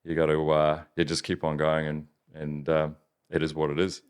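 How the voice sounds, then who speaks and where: A man from New Zealand with a softened Kiwi accent, chatting casually as a business owner in an interview, rambling with many restarts. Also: extremely low pitch, monotone, moderate pace, clean tone. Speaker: business owner, podcast guest.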